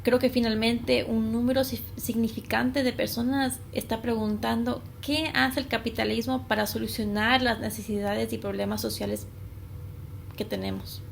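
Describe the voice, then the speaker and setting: Higher-voiced, creaky woman giving interview answers. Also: level tone, normal speed. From Ecuador and the United States. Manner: careful, stumbling over longer words. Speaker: guest, consultant. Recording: noticeable background noise.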